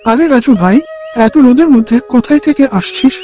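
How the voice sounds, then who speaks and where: A man's voice: very high-pitched, fairly quick, over music, pitched above the performer's own voice. A man voicing a helpful young village friend in a cartoon, eager and concerned, with a friendly manner. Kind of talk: Bengali cartoon story dialogue.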